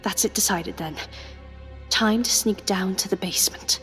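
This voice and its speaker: A woman playing a plucky, wry undercover agent, narrating confidingly to the listener in a radio drama with a deliberate delivery. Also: medium pitch, a bit bright, in a whisper, faint music behind, natural voice. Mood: wry, plotting.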